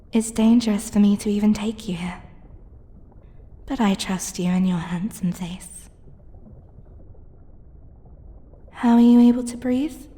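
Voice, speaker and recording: A woman playing a flirty, teasing mermaid in an intimate ASMR roleplay, coy and playful with breathy half-whispers. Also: medium pitch, warm tone. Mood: playful, affectionate.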